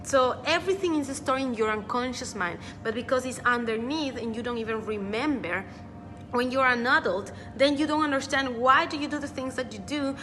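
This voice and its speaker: A woman's voice: high-pitched, normal speed, a bit bright, a little hiss or hum. A woman giving an earnest motivational talk as a personal development coach. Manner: earnest, repeats words for emphasis. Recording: reverberant room with faint music.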